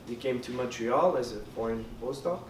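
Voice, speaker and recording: A man's voice: medium pitch, normal speed. Francophone man, earnest debate answers, roomy sound, distant mic, noisy background. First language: French. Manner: earnest, hesitant with many fillers. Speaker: student election candidate. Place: lounge hall during a debate.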